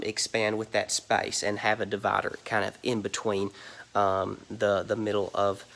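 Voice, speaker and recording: Male reseller, casual vlog tour, nasal from allergies, meandering, middle pitch. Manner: rambling, many ums and "you guys". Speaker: reseller giving a warehouse tour. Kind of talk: casual walk-through vlog. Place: large indoor warehouse.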